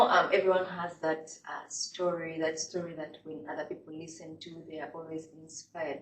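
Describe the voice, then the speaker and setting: A woman's voice: low voice, a little hiss or hum. A woman hosting a casual interview, chatting and often filling with "like". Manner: chatty, leans on "like" fillers. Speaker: podcast host. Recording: echoey, distant mic.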